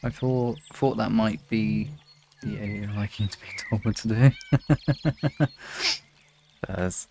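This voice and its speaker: A man with a low voice and a lot of creak chats casually on a livestream. He rambles informally as he addresses his viewers. Some faint noise sits behind him. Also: steady tone, slow pace, pauses often, a little mellow. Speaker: livestream host.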